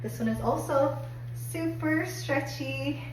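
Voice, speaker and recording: Hoarse woman chatting in an echoey room. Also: sits high, slow pace. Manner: chatty, upbeat, many "like"s. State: hoarse, getting over a cold. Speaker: fashion haul vlogger. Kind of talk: casual try-on review. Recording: echoey room, distant mic, faint hum.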